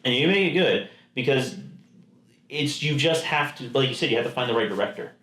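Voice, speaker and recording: Male podcast co-host, mid pitch, excitable rambling delivery, echoey room. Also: brisk. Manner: enthusiastic, rambling with restarts. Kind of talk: casual chat. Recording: roomy echo.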